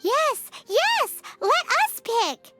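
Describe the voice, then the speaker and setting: An actress voicing a tiny, excitable, cheeky fairy girl companion in a video game, with a squeaky childlike voice. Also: extremely slow, over music, full character voice. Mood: excited. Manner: perky, refers to self in third person.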